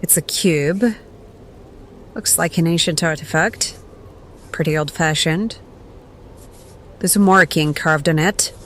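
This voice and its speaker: A woman playing a scholarly adventurer in an audio drama, measured and analytical in manner. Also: low voice, fairly even intonation, a bit bright, a little hiss or hum. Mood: measured, doubtful.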